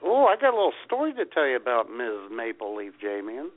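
A man's voice: medium pitch, huge pitch swings, brisk. A man co-hosting an internet radio show, trading folksy, joking banter in casual chat. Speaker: co-host. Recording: tinny phone-like line.

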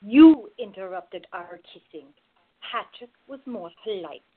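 A woman playing a wry, devoted lover in a radio play, husky-voiced, with dry, understated banter. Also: mid-range voice, animated, unhurried, no room sound. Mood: matter-of-fact. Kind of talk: amateur radio play acting. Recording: thin phone-like audio, dry.

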